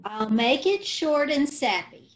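A woman as a slow-talking, scatterbrained radio-comedy wife. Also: high-pitched, a little hiss or hum. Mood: innocently evasive. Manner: deadpan, unhurried. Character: scatterbrained, artfully innocent wife, mangles sayings. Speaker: amateur radio troupe actor. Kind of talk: old-time radio comedy acting. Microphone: video call.

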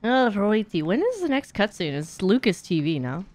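A woman's voice: mid-range voice, big pitch swings, moderate pace, a little mellow. A young woman giving casual, playful live commentary while streaming a video game, with mock exasperation. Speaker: streamer, speedrunner.

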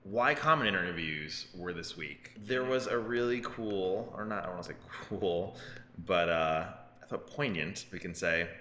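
A man's voice: deep voice, moderate pace, bright, forceful tone. A man in his thirties co-hosting a casual tech news chat, often breaking off mid-thought and checking for agreement. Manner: self-interrupting, conversational, seeks agreement with "right?". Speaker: co-host of a tech news show. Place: open-plan office, indoors on a couch. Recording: roomy, echoey office, faint background noise.

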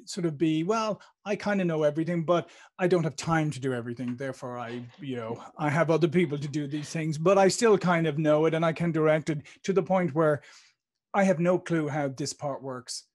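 An Irish man in his fifties hosts a conversational interview. His voice sits a little high, and he thinks aloud, often restarting a phrase before landing the point. He speaks close into a podcast microphone. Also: a little fast. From Ireland. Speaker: interview host. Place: home studio with branded backdrop. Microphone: podcast microphone on stand.

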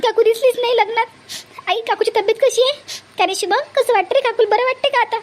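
A woman plays a young cartoon bride with a very high, childlike pitched-up voice. She pleads tearfully and fretfully in a Varhadi Marathi accent, repeating herself. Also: fast. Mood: tearful, anxious. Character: tearful young bride leaving her mother. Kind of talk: homemade cartoon family drama.

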